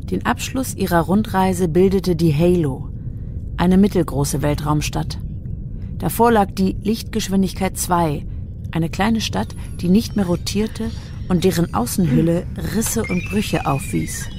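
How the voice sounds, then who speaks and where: A woman delivers scripted narration for a radio play. Her voice is fairly low with plenty of creak, over clearly audible music. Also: measured pace.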